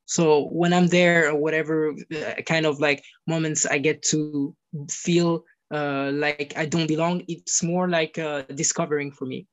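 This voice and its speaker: A man's voice: fairly high pitch, little pitch movement, a little slow. Hesitant young man. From Italy. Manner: hesitant, searching for words, many fillers. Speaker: guest. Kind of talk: casual conversation.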